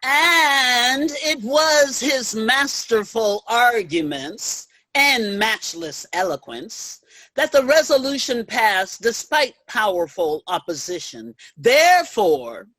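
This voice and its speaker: Deliberate, sweeping actress as woman suffrage orator. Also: fairly high pitch, big pitch swings, bright, forceful tone, dry recording, heavily acted. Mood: impassioned, emphatic. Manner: declamatory, emphatic, deliberate. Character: stirring, emphatic women's suffrage orator of past times. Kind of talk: reader's theater declamation.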